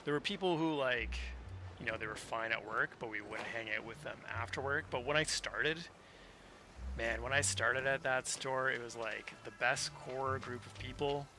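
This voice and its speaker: A man's voice: mid-range voice, moderate pace, a little hiss or hum. A man streaming a video game from a home office, chatting casually in a laid-back, rambling way. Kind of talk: casual livestream chat while playing. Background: loud video game music and sounds.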